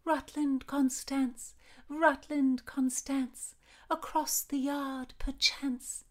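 A woman's voice: extremely high pitch, fairly even intonation, slow, a bit bright, voice pushed up, exaggerated voice. A woman voicing a lovestruck, giddy young Tudor woman in a storybook reading. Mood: giddy singsong.

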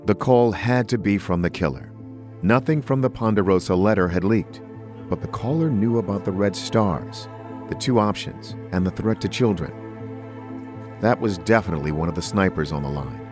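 A male podcast narrator with a deep voice tells a scripted true-crime story. A music bed plays clearly behind him. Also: normal speed.

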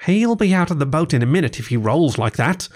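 A man voicing a friendly, easygoing gentleman river rat from a storybook, chatty and cheery. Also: a little high, sing-song, fairly quick, pitched above the performer's own voice. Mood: chatty, wry. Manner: cheery, chatty, old-fashioned British idiom. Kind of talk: storybook character voice.

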